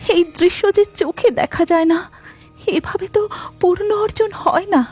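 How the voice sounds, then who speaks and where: A woman's voice: very high-pitched, unhurried, a little mellow, faint music behind. A woman voicing a compassionate goddess in a cartoon, urgently reproaching her husband. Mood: pleading. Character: goddess wife, compassionate, indignant.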